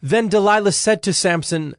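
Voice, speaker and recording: A man narrating dramatized scripture in a measured storytelling manner. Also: high-pitched, dry recording. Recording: dry studio with music underneath. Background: music.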